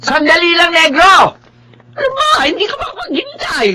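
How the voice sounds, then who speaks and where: A woman with a Filipino accent playing a long-suffering, sharp-tongued wife in a radio drama. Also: extremely high pitch, moderate pace, slight background noise, full character voice. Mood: impatient, sarcastic. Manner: expressive, dramatic. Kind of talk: Tagalog radio drama acting.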